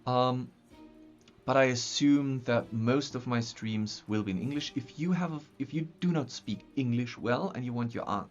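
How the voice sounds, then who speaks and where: A young man from Austria, a native German speaker with an Austrian accent, chatting on a live stream while building a map. He thinks aloud with many ums and restarts. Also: medium pitch. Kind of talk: casual live-stream chat. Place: small room at a desk, indoors. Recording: slightly dull sound, faint music.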